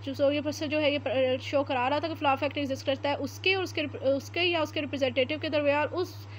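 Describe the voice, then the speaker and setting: High-voiced Pakistani woman teaching law. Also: steady tone, slight background noise. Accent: Pakistani Urdu. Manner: explains slowly, reads English statute text word by word, frequent "uh" fillers. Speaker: law lecturer. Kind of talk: explanatory law lecture.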